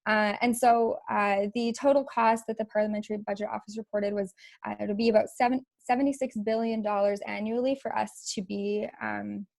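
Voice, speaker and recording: A woman's voice: medium pitch, steady tone, fairly quick, gravelly, darkish tone, no room sound. A young woman from Alberta giving a presentation on a video call, talking with many fillers, restarts and little laughs. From Alberta, Canada. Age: young adult. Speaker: youth network presenter.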